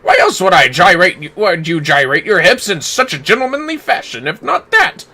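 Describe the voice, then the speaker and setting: A man's voice: sits high, big pitch swings, normal speed, bright, forceful tone, full character voice. A man voicing a bombastic, theatrical older father from a game, declaiming pompously. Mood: grandly jovial. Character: bombastic, hip-gyrating muscular older father, grandly theatrical.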